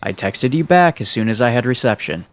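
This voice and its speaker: A man's voice: mid-range voice, expressive, a little fast, dry recording, lightly acted. A man playing a mild, hesitant young protagonist in a visual novel fan dub. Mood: apologetic.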